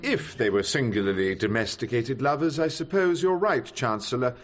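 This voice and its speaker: An actor playing a wry, needling defence barrister with an English accent and mock-polite, sardonic delivery in a radio drama. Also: male voice, mid-range voice, lively intonation, moderate pace, close to an everyday voice. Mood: probing, sardonic. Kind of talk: BBC radio drama acting.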